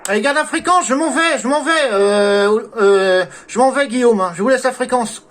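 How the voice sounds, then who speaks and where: A man's voice: extremely high pitch, normal speed, a bit bright. A man from France chatting casually as an amateur radio operator, with courteous sign-offs. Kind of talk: casual radio contact chat.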